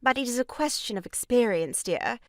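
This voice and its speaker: Woman acting a self-important mum in an old farce, sugary-polite but condescending, swoopy pitch, dry sound. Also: expressive, moderate pace, no echo, lightly acted. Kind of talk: theatrical audio drama farce acting.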